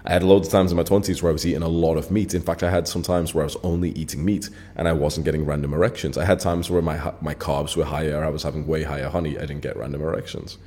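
A man's voice: rumbling low, little pitch movement, fast, few pauses, darkish tone. A man in his late twenties hosting his own channel, talking blunt and casual straight to camera, swearing freely and leaning on filler words. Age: 20s. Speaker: channel host.

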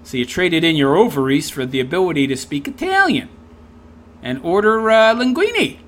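A man's voice: on the high side, sing-song, normal speed, fairly bright tone. A man reading a text aloud and tearing into it with sarcastic, scornful commentary. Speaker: commentary video host.

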